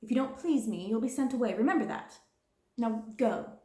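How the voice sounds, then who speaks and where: A woman's voice: on the high side, expressive, brisk, warm tone, roomy sound, voice pushed up, natural voice. A woman voicing a harsh, domineering middle-aged schoolmistress in a storybook reading, cold and scornful. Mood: commanding.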